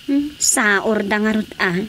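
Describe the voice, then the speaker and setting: A woman's voice: mid-range voice, measured pace, a bit bright, a little hiss or hum, close to an everyday voice. A woman with an Ilocano accent playing an inquisitive family woman in a radio drama comedy. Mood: curious.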